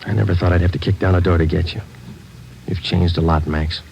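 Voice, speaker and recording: An actor playing a stoic police detective who talks in flat, clipped, deadpan lines, in 1940s radio drama style. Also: male voice, sits low, brisk, gravelly, a little hiss or hum, low-quality recording. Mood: firm. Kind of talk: 1940s radio acting with first-person narration.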